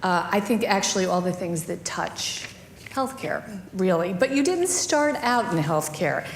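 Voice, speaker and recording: A woman interviewing a guest on stage at a panel event, with a chatty, lively manner and easy asides. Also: mid-range voice, measured pace, a little hiss or hum.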